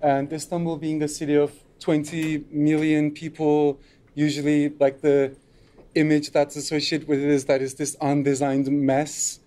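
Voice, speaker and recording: A man's voice: on the high side, completely flat delivery, measured pace, mellow. A middle-aged man from Turkey giving a conference talk at a lectern, loose and unscripted, with frequent fillers. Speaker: conference speaker. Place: lecture room, at a lectern.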